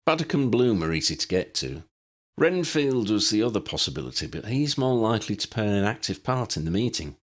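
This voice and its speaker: A man's voice: on the low side, big pitch swings, brisk, voice pushed up. A man voicing a cocky, stubborn young male reporter in an audiobook reading, with a bold, insistent delivery.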